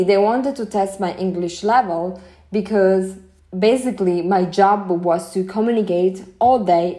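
A woman's voice: lowish voice, dark tone. A young woman with an Italian accent hosting a podcast, giving earnest, chatty career advice with frequent restarts. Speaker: podcast host. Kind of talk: solo podcast advice talk.

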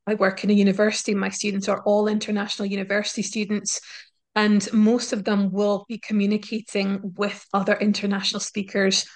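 A woman professor talks through her research findings in an interview. Her pitch sits in the middle range. She explains carefully, pausing with ums and restarting her phrases as she thinks. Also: monotone. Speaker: linguistics professor, guest. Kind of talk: academic interview answers. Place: university in Scotland.

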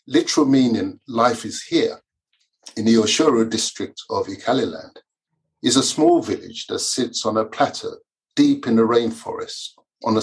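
A man, a Yoruba speaker, reading his own memoir aloud in a measured, formal way. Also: lowish voice. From Nigeria. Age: 50s. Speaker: author and lawyer.

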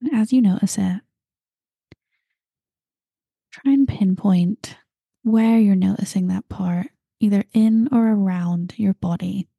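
Woman meditation guide, dark gravelly voice, husky whispers, dry close sound. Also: mid-range voice, no echo, dull audio. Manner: slow, soft and calm, drifting into breathy whispers.

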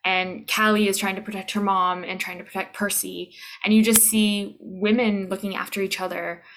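A woman's voice: medium pitch, flat delivery, moderate pace, a bit bright, a little hiss or hum, rough recording. A woman chatting casually as an interview guest, with emphatic repeats and checks for agreement.

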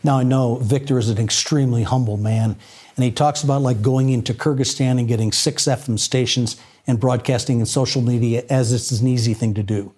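Man, mid pitch, measured, earnest, interview host. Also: steady tone, darkish tone, husky. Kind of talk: broadcast interview.

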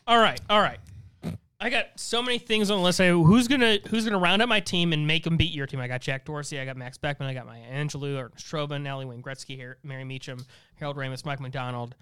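A high, smooth-voiced American man, recorded close and dry. He chats casually as a podcast co-host, working out his thoughts aloud in a halting, joking way. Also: fairly even intonation, fairly bright tone, no room sound. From St. Louis area, United States. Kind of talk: casual comedic banter.

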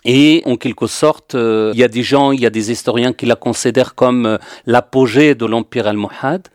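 A man's voice: mid-range voice, normal speed, darkish tone, no echo, studio quality. A man from Morocco, a history guest, talking things through in a hesitant way with lots of fillers and restarts. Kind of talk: casual discussion.

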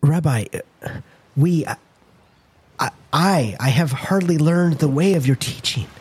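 A man playing an earnest, awestruck fisherman and elder brother with halting speech in a Bible audio drama. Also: fairly high pitch, animated, a little mellow, breathy edge, exaggerated voice. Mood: flustered. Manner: halting, stammering.

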